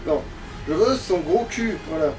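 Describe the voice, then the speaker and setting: A man's voice: a little high, animated, unhurried, distant mic, music in the background, poor audio. A man in casual gaming chat, grumbling and swearing crudely as he plays. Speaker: gamer playing on a let's play.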